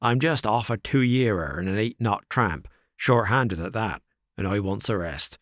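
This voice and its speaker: A man voicing a rough, sinister old sailor who talks slowly in coarse working-class speech. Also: lowish voice, lively intonation, a bit bright, dry recording, clean studio sound. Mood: sly.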